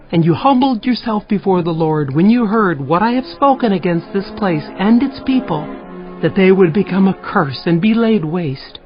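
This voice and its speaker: A muffled recording with music carries an actress as a stern female prophet. She declaims grave judgment in measured, solemn phrases, in the manner of dramatized scripture. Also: medium pitch, lightly acted.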